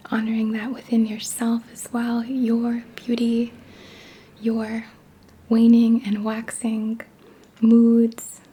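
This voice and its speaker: Woman, soft breathy whisper, very slow and gentle, even pitch, guided healing meditation, soothing ASMR. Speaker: reiki ASMR practitioner leading a healing session. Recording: clear background noise, ringing singing bowls. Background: tuning forks and singing bowl tones.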